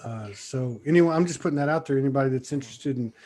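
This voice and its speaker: A man's voice: medium pitch, racing. Easygoing male podcast host. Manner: relaxed, rambling with many fillers. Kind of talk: casual interview chat.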